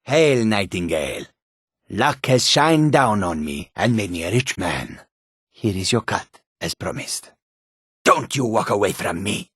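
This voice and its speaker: A man voicing a sly, whimsical male cat-folk wanderer in a video game, with a raspy, husky voice, deliberate clipped phrasing. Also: on the low side, big pitch swings, no echo, clean studio sound, exaggerated voice. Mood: friendly, then menacing. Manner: speaks of himself in third person, deliberate, clipped phrasing. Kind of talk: video game character dialogue.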